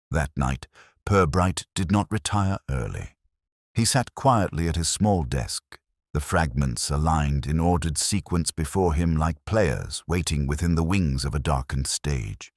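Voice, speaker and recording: A man with an extremely low, husky voice narrates a detective mystery. His pitch moves in lively sweeps while he keeps a measured, literary storytelling manner. The sound is close and dry. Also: no room sound.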